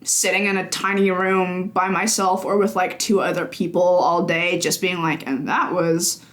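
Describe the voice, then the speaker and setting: A woman's voice: on the low side, level tone, normal speed. A young woman guesting on a casual podcast, a chatty storyteller who laughs easily and fills with ums. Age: young adult. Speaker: games journalist guest.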